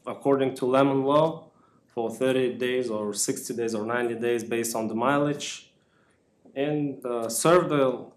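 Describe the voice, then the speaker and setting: A man's voice: medium pitch, roomy sound. A man from Kazakhstan explaining his business plan at a town meeting, hesitant, with many fillers and restarts. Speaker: used car business applicant.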